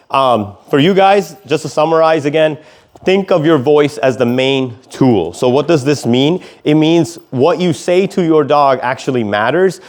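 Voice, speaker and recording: A man giving an instructional talk as a dog trainer, explaining things and using clear, short commands and praise. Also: mid-range voice, darkish tone.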